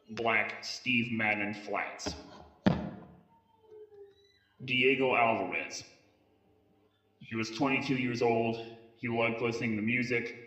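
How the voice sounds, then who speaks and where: A man reading a scripted police case briefing in a halting way, occasionally stumbling over words. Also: mid-range voice, sharp tone. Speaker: narrator presenting a police case file. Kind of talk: scripted narration, mock police briefing tape in an analog horror story. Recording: muffled lo-fi tape sound, roomy echo.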